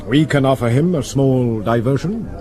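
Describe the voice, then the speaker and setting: A man acts a courteous British detective in a Victorian radio mystery. He is tentative yet persistent, with a medium-pitched voice and very varied intonation. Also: expressive, warm tone, close to an everyday voice. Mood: tactful, probing. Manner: polite, measured, tentative. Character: tactful, persistent Victorian London police inspector. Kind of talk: 1970s BBC radio drama acting.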